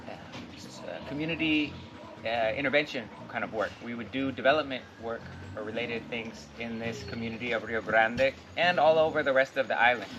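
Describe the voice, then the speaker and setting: Man from oregon, casual farm tour talk, filler-heavy, loud outdoor background. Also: medium pitch, noisy background. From Portland, Oregon, US. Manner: relaxed, rambling, frequent fillers. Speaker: cacao farm owner. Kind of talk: casual guided tour and life story.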